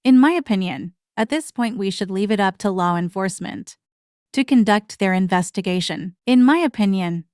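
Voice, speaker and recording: A woman's voice: medium pitch, animated, normal speed, darkish tone, dry recording, studio quality. Blunt mocking woman commentator. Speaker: commentary channel host. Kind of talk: scripted reaction commentary.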